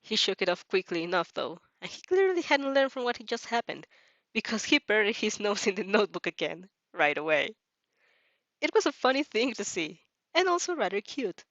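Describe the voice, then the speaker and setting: A woman's voice: medium pitch, fairly bright tone, dry recording, muffled recording. Woman reading a story aloud, dry close sound. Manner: giggly asides, stumbling over words while reading. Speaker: reader reacting to fan fiction.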